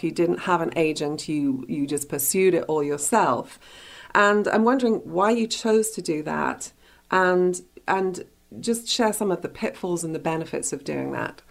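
A woman's voice: lowish voice, gravelly. A woman hosting a conversational author interview, asking questions and commenting. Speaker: radio show host.

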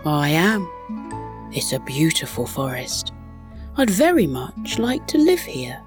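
A woman voicing a shy, gentle, polite storybook wolf who speaks softly and courteously over a bedtime tale. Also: low voice, big pitch swings, slow, music in the background, exaggerated voice. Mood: wistful, friendly. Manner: soft-spoken, courteous.

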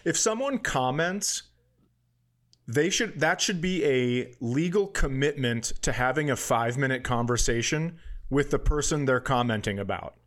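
A man's voice: mid-range voice, expressive, unhurried, fairly bright tone. A man talking as a comedian on a podcast, casual and opinionated, ranting with heavy swearing.

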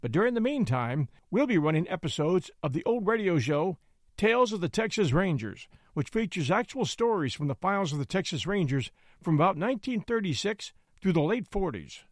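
Lively, high-voiced podcast host man. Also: fairly quick, no room sound, studio quality. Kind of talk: casual scripted intro.

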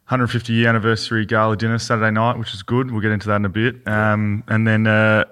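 A man's voice: on the low side, flat delivery, fast talker, fairly bright tone, clear, resonant tone. A young Australian man and footballer co-hosting a podcast, easygoing with many fillers. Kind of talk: casual chat.

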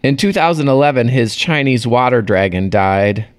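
A man's voice: medium pitch, expressive, moderate pace, clear, resonant tone. A man co-hosting a podcast in casual, teasing chat, laughing often. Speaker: podcast co-host.

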